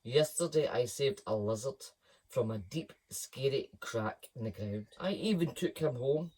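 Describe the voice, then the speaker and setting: A storyteller voicing a brave, boastful young male lion cub, loud and high with a husky edge. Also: lively intonation, measured pace. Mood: proud. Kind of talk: storybook reading.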